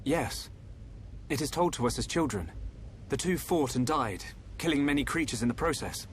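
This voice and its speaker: A man's voice: mid-range voice, expressive, normal speed, slight background noise, natural voice. An actor playing a resolute young male hero in a fantasy video game, speaking politely and earnestly. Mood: earnest, concerned. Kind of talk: dramatic video game voice acting.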